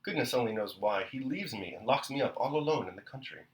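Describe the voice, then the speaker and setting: A man's voice: mid-range voice, lively intonation, fast, natural voice, low-quality recording. A man voicing a petulant young pregnant princess who complains and pleads tearfully. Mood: querulous. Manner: querulous, pleading.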